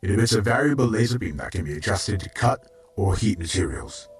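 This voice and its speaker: An actor playing a patient robot mentor in an audio drama, explaining things in a rough, husky voice. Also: male voice, fairly low pitch, lively intonation, a little fast. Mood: patient, instructive. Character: patient male robot mentor teaching medical tools.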